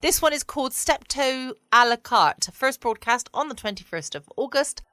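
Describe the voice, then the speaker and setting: A woman's voice: high-pitched, expressive, normal speed, bright, forceful tone, no room sound, clean studio sound. A woman chatting casually and wryly as the host of a late-night bedtime podcast. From United Kingdom.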